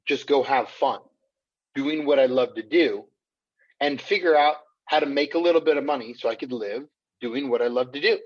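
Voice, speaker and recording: Enthusiastic host, dull audio. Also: male voice, mid-range voice, a little fast. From United States. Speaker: podcast host. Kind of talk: conversational interview.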